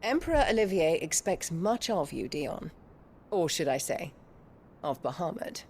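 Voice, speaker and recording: A woman playing a haughty, scheming noblewoman in a video game, speaking in a condescending, deliberate, formal way. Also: fairly low pitch, lively intonation, a little mellow, a little hiss or hum. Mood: coldly commanding. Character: haughty, scheming imperial noblewoman, cold and venomous. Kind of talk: dramatic video game cutscene acting.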